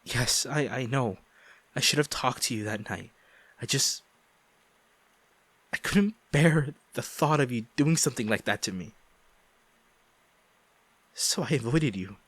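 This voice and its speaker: A man acting a guilt-ridden ex-boyfriend pleading for forgiveness in an intimate audio roleplay, halting and emotional. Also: medium pitch, lively intonation, fairly bright tone, no echo. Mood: regretful, earnest. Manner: halting, emotional, sighing, repeats himself.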